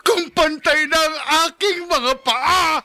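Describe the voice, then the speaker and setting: A man's voice: very high-pitched, takes it slowly, bright, forceful tone, no room sound, studio quality, full character voice. Hurt, weary elderly father, emotionally charged. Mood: anguished, reproachful. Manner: sighing, plaintive, hesitant. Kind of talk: Filipino radio drama acting.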